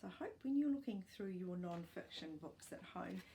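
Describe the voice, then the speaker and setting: An older woman reading a children's non-fiction book aloud as a storytime host, with expressive, deliberate delivery for young children. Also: fairly low pitch, slight background noise. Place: small furnished living room, indoors.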